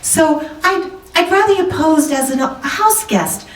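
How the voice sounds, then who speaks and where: A woman's voice: high-pitched, animated, a little slow, a bit bright, slight background noise. A woman playing an anxious, genteel young wife in 1940s radio drama style, polite, fretful and hesitant. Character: anxious, genteel young married woman seeking a detective's help. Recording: roomy, distant microphone, music behind.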